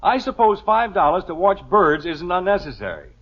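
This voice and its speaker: Exasperated American family man, blustery and sarcastic, swinging pitch, husky edge, dull vintage radio sound. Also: sing-song, moderate pace. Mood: stern, sarcastic. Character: exasperated, thrifty middle-aged father and husband. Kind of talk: 1950s radio sitcom acting.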